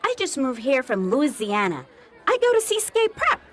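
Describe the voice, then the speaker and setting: Very high, swooping teenage girl. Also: wildly swooping pitch, normal speed, a bit bright, heavily acted. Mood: eager. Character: friendly, eager newcomer teenage girl. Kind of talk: radio drama acting.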